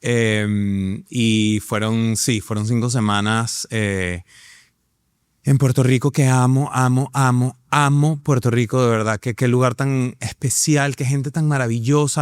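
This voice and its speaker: A man's voice: mid-range voice, clean tone, no room sound, clean studio sound. A man in his thirties with a Venezuelan accent, an actor talking as a guest in a casual interview, enthusiastic and full of fillers and restarts.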